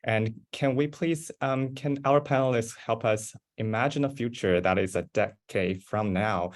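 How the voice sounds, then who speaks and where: A man's voice: mid-range voice, measured pace. A young man moderating an online panel, polite and eager, with frequent "um"s. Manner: polite, eager, many fillers. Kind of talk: hosting a virtual panel.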